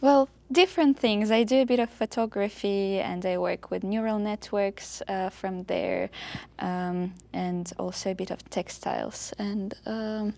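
Slow, hesitant young woman student. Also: mid-range voice, fairly even intonation, creaky, a little mellow. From Russia. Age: young adult. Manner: hesitant, many fillers. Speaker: student panelist. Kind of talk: casual panel chat. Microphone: lapel mic.

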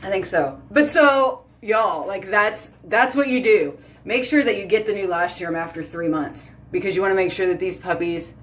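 Brief: thirties woman, casual home beauty vlog, chatty and animated, phone mic at arm's length. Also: mid-range voice. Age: 30s. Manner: chatty, animated, lots of "like" and "y'all". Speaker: beauty vlogger. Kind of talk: casual chat to camera. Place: small bedroom or bathroom at home. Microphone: phone camera at arm's length.

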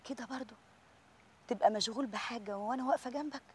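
A woman's voice: a little high, fast, a little hiss or hum, close to an everyday voice. An actress playing a young woman in love who speaks tenderly to her sweetheart, with an Egyptian accent. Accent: Egyptian Arabic. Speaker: Nora.

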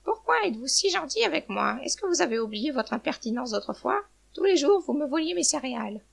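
A woman's voice: high-pitched, animated, normal speed, vocal fry, voice pushed up, exaggerated voice. A woman voicing a feisty, proud mother hen in a children's story, blunt and exclamatory. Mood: indignant. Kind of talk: children's storybook character reading.

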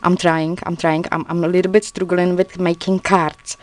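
A woman's voice: lowish voice, level tone, no room sound. Chatty Czech woman with learner's English. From Czech Republic. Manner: chatty, laughs often, self-deprecating, halting learner's English. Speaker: crafter showing a handmade journal. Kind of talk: casual crafting video chat. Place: indoors at a craft table, filmed from above.

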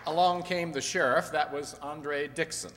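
Male announcer, 60s, slightly high voice, scripted radio-show delivery, loud crowd around him. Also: steady tone, moderate pace. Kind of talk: scripted cast credits in radio announcer manner. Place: on stage in a community hall. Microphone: stand microphone. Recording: echoey hall, loud audience and noise.

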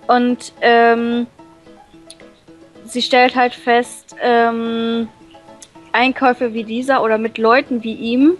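Casting: higher-pitched woman, unhurried, hesitant reader-translator, soft music behind. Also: level tone. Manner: reads English text slowly, then paraphrases in German with many fillers. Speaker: reader translating a visual novel. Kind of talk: casual reading and translating with friends.

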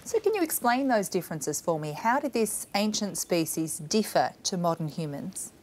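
A woman's voice: on the low side, big pitch swings, moderate pace, a little mellow, slight background noise. A middle-aged woman with an Australian accent, presenting and interviewing in a television news studio.

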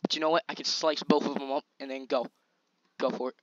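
A young man hosting his own gaming channel, commentating gameplay in a chatty, slangy, excitable way, his voice a little scratchy. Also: medium pitch, quick pace, muffled recording. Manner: excitable, chatty, slangy hype while playing. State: slightly scratchy voice.

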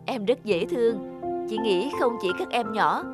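A woman voicing a kind, encouraging young puppet woman in a cartoon, speaking in a gentle, reassuring manner. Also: on the high side, lively intonation, unhurried. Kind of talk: cartoon dubbing. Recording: loud music under the voice.

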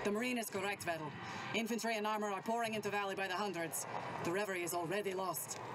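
A woman's voice: mid-range voice, steady tone, fast talker, natural voice. Actress as a resolute female super-soldier, noisy audio. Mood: grave, firm. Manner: terse, grave, commanding. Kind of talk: military game audio log acting.

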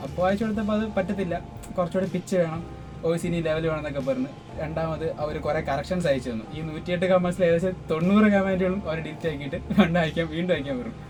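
A man's voice: on the high side, rapid-fire, music in the background, low-quality recording. A man chatting casually as an interview guest in a dubbing studio. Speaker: interviewee, sound engineer and dubbing artist. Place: small dubbing studio suite.

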